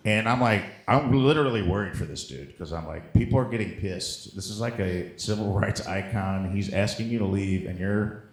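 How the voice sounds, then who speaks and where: A man's voice: lowish voice, echoey room. A man in casual comic chat, telling stories in a rambling, joking, profane way. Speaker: comedian guest on a podcast.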